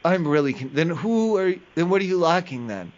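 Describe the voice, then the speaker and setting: A man with a fairly high voice plays a prank caller on a muffled, lo-fi recording. He rambles and sounds puzzled, pressing on with question after question. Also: animated, moderate pace. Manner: feigned confusion, rambling, persistent questioning. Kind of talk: prank phone call.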